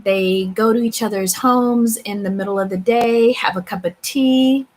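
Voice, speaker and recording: A woman hosting a tarot channel, talking casually and reflectively to viewers about spiritual matters, with halting pauses and repeats. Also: mid-range voice. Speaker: tarot reader and channel host. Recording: clear steady background room noise.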